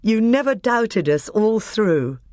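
A reader voicing a confident teenage boy who is high king, speaking with assurance. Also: sing-song, slow pace, a little mellow, dry recording, clean studio sound. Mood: tender.

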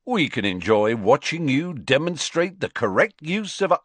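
A man's voice: on the high side, big pitch swings, moderate pace, husky, no room sound, voice pushed up. A man playing a mocking, mischievous older-teen twin brother in a storybook, teasing in a mock-grand drawl.

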